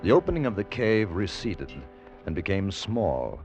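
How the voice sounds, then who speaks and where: Deep-voiced wistful man remembering boyhood. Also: big pitch swings, darkish tone. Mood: reflective. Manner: reflective, measured, wistful. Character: grown man nostalgically recalling his boyhood adventure. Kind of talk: 1940s radio drama narration. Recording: muffled old-time radio recording. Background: music.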